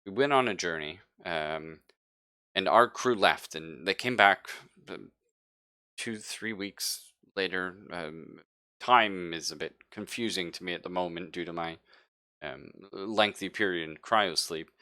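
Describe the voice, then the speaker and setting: A man voicing a hesitant, evasive ship's doctor in a sci-fi tabletop game, explaining things haltingly. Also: low voice, bright, forceful tone, no echo, studio quality. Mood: uneasy, evasive. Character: Flynn, hesitant evasive ship's doctor waking from long cryosleep.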